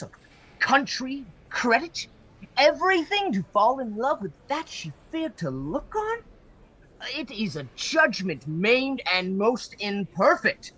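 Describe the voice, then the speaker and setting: A bright, forceful but husky voice from an actor portrays an outraged old father in a Shakespearean radio play. The delivery is slow and halting, swinging widely in pitch with grief and indignation. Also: heavily acted, rough recording. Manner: emphatic, halting, aggrieved declamation. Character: outraged, grieving elderly father, a Venetian senator. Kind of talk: Shakespearean verse acting for radio.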